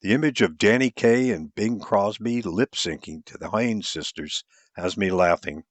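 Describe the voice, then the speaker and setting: Male audiobook narrator, lowish voice, first-person romance storytelling, close dry recording. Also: moderate pace, dry recording.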